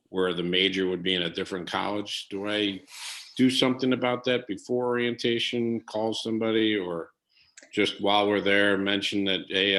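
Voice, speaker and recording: A father speaks up on a video call with a very low voice that still cuts through sharp and forceful. He lays out his question carefully, pausing and rephrasing as he goes. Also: rough recording. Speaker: parent asking a question.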